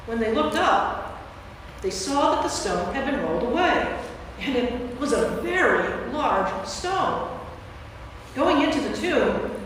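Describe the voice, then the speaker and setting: Woman narrating scripture in a booming echo. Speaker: priest, narrator of staged reading. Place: church, live before audience. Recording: very echoey church, distant mic, faint noise.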